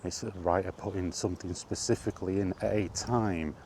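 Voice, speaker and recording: A man's voice: deep voice, a little fast, darkish tone, a little hiss or hum. A man talking casually while out on a walk, rambling and correcting himself, getting worked up over his point. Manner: rambling, self-correcting, grows exasperated. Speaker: vlogger. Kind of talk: casual walking monologue. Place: outdoors on a walk.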